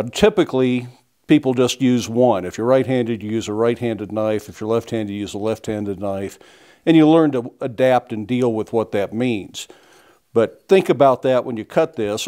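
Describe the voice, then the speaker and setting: A middle-aged blacksmith explaining step by step in a plain, unhurried way as he demonstrates forging in a small workshop. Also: medium pitch, a little mellow. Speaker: blacksmith instructor. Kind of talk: hands-on demonstration. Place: small workshop forge, indoors.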